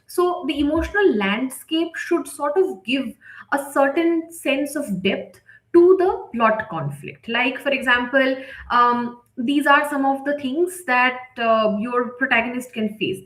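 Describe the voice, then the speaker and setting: A woman's voice: fairly high pitch, fairly bright tone. A woman leading a live online writing lesson, explaining step by step and often checking in with the listener. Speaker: writing instructor, editor leading a session. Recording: echoey, roomy sound.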